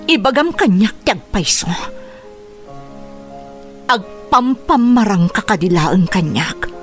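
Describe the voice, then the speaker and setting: Lady acting wounded young ilocano woman in a radio drama, slow accusing lines, really swoopy pitch. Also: big pitch swings, soft background music. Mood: hurt, accusing. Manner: slow, emotional, accusing delivery. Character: hurt, betrayed young woman confronting her deceitful lover.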